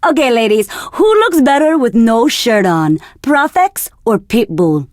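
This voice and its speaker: A woman's voice: on the high side, expressive, measured pace, a little mellow, dry recording, studio quality. An actress playing a flirty, confident woman radio DJ in a video game, with a seductive, teasing delivery. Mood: flirty, upbeat. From Argentina. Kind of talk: scripted game radio DJ patter.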